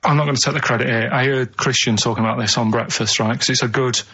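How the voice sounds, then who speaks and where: A man's voice: mid-range voice, steady tone, quick pace, pushed and bright, slightly rough. A man telling a casual, rambling story on the radio in an earnest, hesitant, matter-of-fact way. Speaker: radio show regular.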